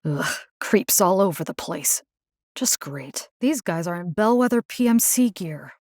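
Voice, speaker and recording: A woman's voice: on the low side, animated, unhurried, a little mellow, dry recording, clean studio sound. An actress playing a caring, tough young woman survivor in a video game, talking in a casual, wry way. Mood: alert, dry. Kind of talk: video game character dialogue lines.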